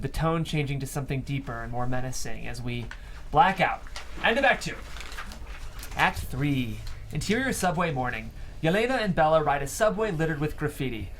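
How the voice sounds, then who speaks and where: A man's voice: fairly high pitch, a bit bright, a little hiss or hum. A man reading scripted stage directions as the narrator of a table read.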